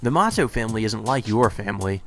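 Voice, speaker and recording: A man's voice: on the low side, lively intonation, fast talker. A man hosting a let's play, reading game dialogue aloud and adding casual, blunt commentary. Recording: music playing under the voice.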